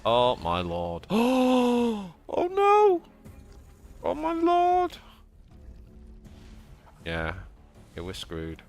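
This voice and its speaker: A man with a Yorkshire accent streaming a card game live, chatting to viewers and yelping with excitement at surprises. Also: extremely slow, long pauses, faint music behind. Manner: excitable, bursts into high yelps at surprises. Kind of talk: live gameplay commentary.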